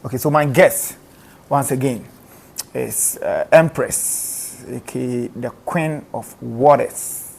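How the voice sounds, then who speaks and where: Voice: mid-range voice, slow pace, creaky, husky. A host interviewing a guest, who keeps answering "oh, okay" and restarts words with stammers. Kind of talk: casual interview with an on-air sponsor read.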